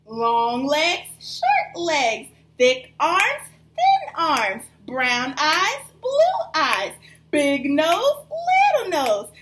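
Very high, animated woman reading to children. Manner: animated, sing-song, encouraging to young children. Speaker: early childhood teacher reading aloud. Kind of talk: rhythmic rapped children's read-aloud. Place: small plain room indoors. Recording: faint background noise.

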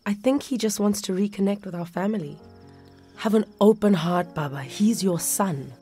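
An actress playing a caring, patient grown daughter in a radio drama, with a South African accent. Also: female voice, mid-range voice, moderate pace, warm tone, soft background music, lightly acted. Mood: gently coaxing.

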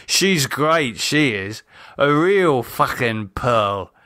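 A man voicing a bitter, sardonic, self-loathing drunk in an audiobook, halting and sneering as he talks. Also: medium pitch, expressive, bright, forceful tone, no echo, clean studio sound, heavily acted. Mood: bitter, contemptuous. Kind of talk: audiobook character dialogue.

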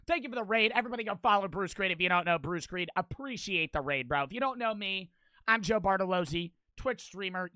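A man in his twenties streaming a game on a headset mic, excitable and swearing, with his voice cracking from wear. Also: sits high, dry recording. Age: 20s. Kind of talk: livestream gaming commentary. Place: small bedroom streaming setup. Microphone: headset mic on boom arm.

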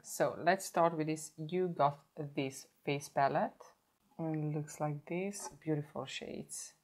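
A woman in her thirties talks close to the camera in a fairly low voice, a little hoarse from a sore throat. She chats casually, breaks off to correct herself, and walks through each product as she shows it. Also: slow pace, a little mellow. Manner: chatty, self-correcting, stops to show products. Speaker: beauty vlogger. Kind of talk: casual makeup tutorial. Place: indoor home filming set.

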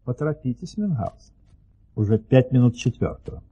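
An actor playing an imperious, touchy Turkish sultan in an audio play, pompous and emphatic, with a husky voice. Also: male voice, medium pitch, animated, fairly quick, mellow, dull audio, a little hiss or hum, close to an everyday voice. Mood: smugly watchful.

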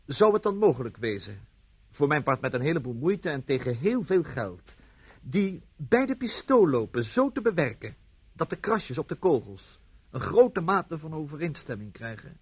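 An actor playing a cheeky, unflappable bon vivant suspect in a radio play, ironic and mock-polite. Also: male voice, a little high, big pitch swings, normal speed, breathy edge, full character voice. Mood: sardonic, insistent.

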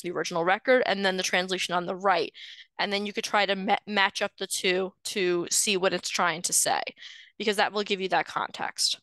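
A woman's voice: medium pitch, a bit bright, no room sound. A woman genealogist giving a screen-share lecture, explaining step by step with frequent ums and uhs. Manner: explanatory, friendly, with frequent um and uh fillers. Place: indoors, voice over shared slides.

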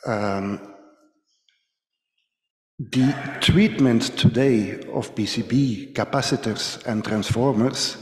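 A middle-aged man from Belgium speaks with a Belgian accent at a podium in a large hall. His phrasing is halting as he presents to a conference, and his voice rings with echo and long reverb. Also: medium pitch. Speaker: conference speaker, company manager. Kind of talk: conference presentation in non-native English. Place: large ornate hall, indoors. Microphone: podium microphone.

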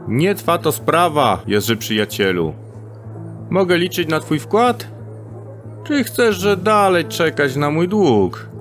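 A scheming thief, acted by a man, in an audio drama, coaxing in old-fashioned, stilted phrasing. His pitch swoops about and he drags his words out slowly. Faint music plays underneath. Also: very animated, fairly bright tone, heavily acted. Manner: archaic, stilted speech, sly and persuasive. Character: shifty male thief Młot, archaic wheedling schemer.

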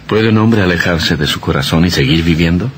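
An actor playing an earnest young doctor, a devoted and reassuring husband, in a melodramatic radio drama. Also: male voice, deep voice, a little fast, a little hiss or hum, lightly acted. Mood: tender. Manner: earnest, affectionate.

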